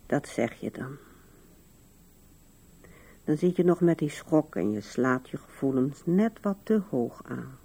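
A woman's voice: extremely low pitch, lively intonation, takes it slowly, long pauses, warm tone, exaggerated voice. An older actress playing an old widow in a radio drama, musing to herself with resignation at her husband's grave. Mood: resigned, musing. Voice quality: husky, creaky. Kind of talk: 1970s radio drama monologue.

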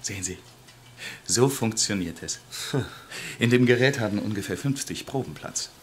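A man's voice: lowish voice, animated, normal speed, close to an everyday voice. An actor playing a matter-of-fact male scientist in a radio play, giving instructions in a clinical manner. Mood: clinical, instructive. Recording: noisy, with mechanical device sounds.

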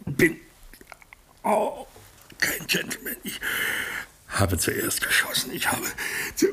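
An actor playing a gruff would-be gentleman gangster in a German radio play, with a husky, gravelly voice and a curt, commanding manner. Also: sits high, huge pitch swings, slow, heavily acted. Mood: dying, weak.

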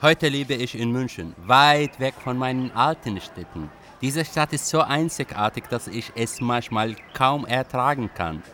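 An actor playing a brooding young Iraqi exile and writer, narrating a literary radio drama in an introspective, deliberate way. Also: male voice, medium pitch, expressive, pushed and bright, slight background noise. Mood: wistful.

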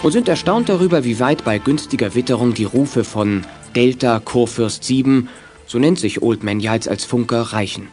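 A man narrating a scripted children's radio play in a clearly phrased storytelling manner. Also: medium pitch, moderate pace, a little mellow, faint music behind.

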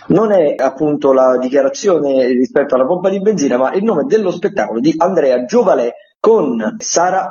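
The sound is slightly dull and lo-fi. A male theatre critic runs through radio listings with informal commentary, in a mellow voice pitched a little high. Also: a little hiss or hum.

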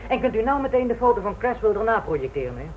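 A man's voice: high voice, big pitch swings, rapid-fire, narrow, tinny audio. An actor playing a cocky young male police trainee in a Dutch radio play, forward and politely insolent. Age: young adult. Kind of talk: Dutch radio drama acting. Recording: thin, noisy old radio recording.

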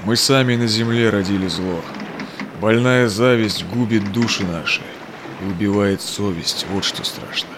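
An actor playing a steadfast, devout Russian Cossack explorer in a rhymed verse audio drama, with measured, solemn delivery. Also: male voice, lowish voice, noisy background, lightly acted. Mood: solemn, calm.

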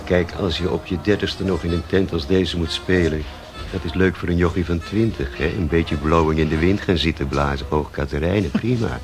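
A man's voice: low-pitched, normal speed. An actor playing a cynical, blunt musician and barman in a radio drama, talking in a laconic way. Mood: scornful, cynical. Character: cynical, blunt male drummer and barman in his forties. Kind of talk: naturalistic Dutch radio play acting.

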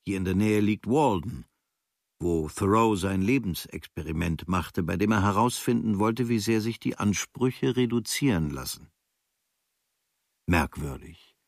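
A man playing an observant writer, reading his travel diary as a radio drama in measured, clipped literary phrases. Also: on the low side, no echo, clean studio sound.

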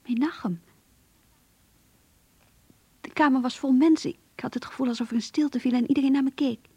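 An actress playing an earnest, lovestruck young woman confiding her diary in a radio play, in a hushed, breathy voice. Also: high voice, fairly even intonation, moderate pace, no room sound. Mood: tender, wistful. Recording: muffled, lo-fi radio play recording.

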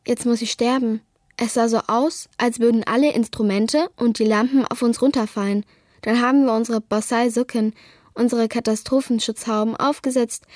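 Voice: mid-range voice, darkish tone, dry recording, clean studio sound, close to an everyday voice. A female speaker voicing a frightened schoolgirl giving scripted radio testimony about an earthquake.

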